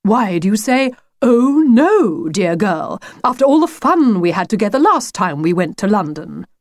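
A woman's voice: mid-range voice, big pitch swings, measured pace, dry recording, studio quality. Woman voicing a grandiose, chummy storybook wolf. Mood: effusive, fussy. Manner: grandiose, fussy, old-fashioned endearments. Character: theatrical, effusive, chummy male talking wolf. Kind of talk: children's storybook character reading.

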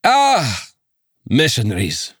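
A gruff Scottish magistrate barks and lords it over everyone in a lively, raised voice, as a man puts him on. The dry, close reading keeps him upfront in the storybook. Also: mid-range voice, slow pace, no echo, pitched above the performer's own voice, exaggerated voice. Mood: sly. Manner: barking, domineering. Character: gruff blustering older male Scottish magistrate.

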